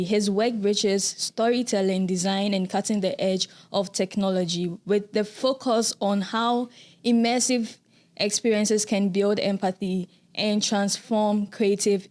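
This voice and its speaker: A woman's voice: medium pitch, a little mellow. Young woman hosting a studio podcast. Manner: scripted, measured introduction. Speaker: podcast host. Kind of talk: interview hosting. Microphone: studio mic on boom arm.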